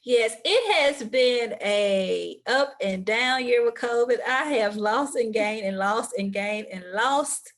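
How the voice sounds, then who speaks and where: A woman's voice: fairly high pitch, expressive, takes it slowly, few pauses. A woman from the southern United States with a Southern American accent, giving upbeat, practical advice as an interview guest. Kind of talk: interview answers.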